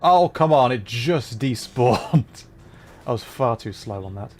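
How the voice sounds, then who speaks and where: A man with a mid-pitched voice gives casual live commentary on a game. He addresses his viewers directly and rambles through his thoughts as he plays. Faint music sits behind his voice. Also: expressive, takes it slowly. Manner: rambling, thinking aloud while playing. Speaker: livestreamer.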